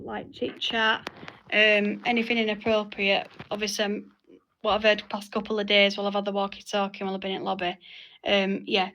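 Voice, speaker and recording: A woman's voice: mid-range voice, a bit bright, low-quality recording. A woman explaining and instructing in casual talk, leading a meeting in an online game voice chat. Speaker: senior EMS player leading a game meeting. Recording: faint background noise with clicks.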